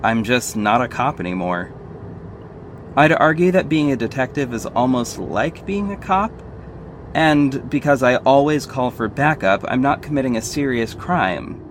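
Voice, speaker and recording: A man's voice: mid-range voice, lively intonation, a little slow, natural voice. A man playing a confident, flirty detective and secret vigilante, narrating an audio drama in the first person, conversational and confiding. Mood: reflective, confiding. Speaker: narrator and lead character. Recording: faint background noise.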